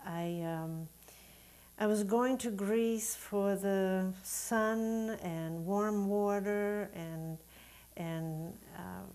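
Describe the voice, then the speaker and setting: A middle-aged or older woman from Sweden, a native Swedish speaker, telling her story as an interview guest in a measured, deliberate, reflective way. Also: mid-range voice, darkish tone. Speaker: guest, Unitarian Universalist minister.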